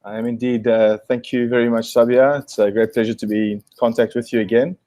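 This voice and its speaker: A man's voice: mid-range voice, steady tone, brisk, dark tone, no room sound. A man from South Africa, a guest on a video call, answering thoughtfully with many fillers and restarts.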